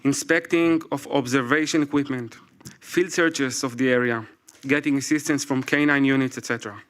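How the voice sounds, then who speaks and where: A man in his forties with an Israeli accent, a native Hebrew speaker, reading a prepared talk from his phone to a live audience. He speaks carefully, with pauses and apologies. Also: mid-range voice, fairly even intonation, a bit bright. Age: 40s. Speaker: speaker at a public event. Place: event stage before a live audience.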